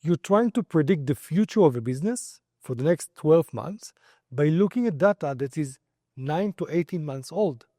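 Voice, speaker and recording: A man's voice: a little high, animated, normal speed, dark tone, no echo, studio quality. A middle-aged man with an Israeli accent, giving explanatory answers as an expert in an interview. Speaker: company founder and credit expert interviewee.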